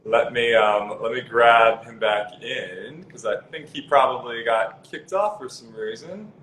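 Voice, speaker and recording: A man's voice: mid-range voice, unhurried, a bit bright. Encouraging male interviewer, roomy noisy call. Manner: encouraging, complimentary. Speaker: interviewer host. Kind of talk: casual livestream interview.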